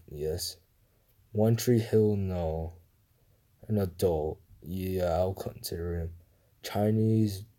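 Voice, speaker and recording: Guy mumbling through a phone quiz game, real dark mellow tone, slow and low. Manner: mumbled, low-key answers, livelier when starting a new round. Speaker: gamer playing a guessing game. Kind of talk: screen-recorded commentary, reading questions aloud. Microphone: phone screen recorder.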